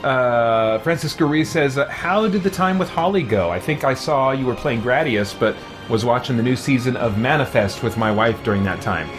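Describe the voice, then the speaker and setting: A man streams casually, talking to his viewers with a halting delivery. He often pauses to search for the right word. Soft music plays faintly behind his mid-pitched voice. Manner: hesitant, halting, searching for words. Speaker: streamer playing a game and answering viewer comments. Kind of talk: casual livestream chat.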